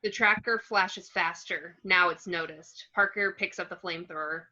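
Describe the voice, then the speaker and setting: A woman's voice: lowish voice, normal speed, sharp tone. A woman narrating stage directions from a screenplay in short clipped phrases, on a lo-fi recording. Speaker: narrator reading action descriptions.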